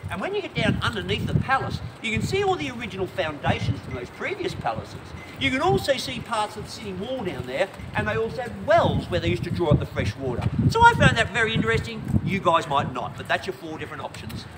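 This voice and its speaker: A man's voice: high voice, big pitch swings, fast, few pauses. A man guiding a walking tour outdoors, talking wryly and conversationally to his group. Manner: wry, conversational, addresses the group as folks. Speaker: tour guide. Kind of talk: guided tour talk. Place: outdoors in a city square and harbour. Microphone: vlogger's phone, from some distance. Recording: distant voice under loud wind.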